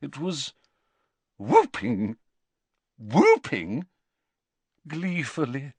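A man voicing a haughty older female divination teacher in a storybook, with theatrical, drawn-out words and sniffy, mystical self-importance. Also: mid-range voice, wildly swooping pitch, darkish tone, slightly rough, no room sound, voice pushed up, heavily acted. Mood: flustered, sniffy.

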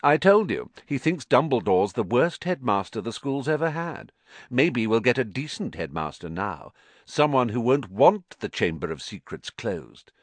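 A man's voice: mid-range voice, very animated, moderate pace, dry recording, voice pushed up. A man voicing a smug, sneering schoolboy bully in a storybook, his voice gloating and raised.

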